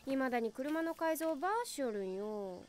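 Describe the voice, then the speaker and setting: High, lively Okayama schoolgirl. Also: moderate pace. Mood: grumbling, casual. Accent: Okayama Japanese. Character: teenage high school girl, easygoing, frank, motherless. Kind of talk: animated film acting.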